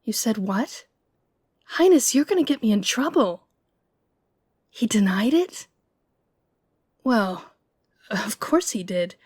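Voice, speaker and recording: A woman's voice: a little high, sing-song, takes it slowly, pauses often, a little mellow, slightly rough, no echo, clean studio sound, heavily acted. A woman playing an outspoken, gossipy young lady-in-waiting in a romantic fantasy audio roleplay, informal, teasing and openly indignant. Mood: indignant, candid.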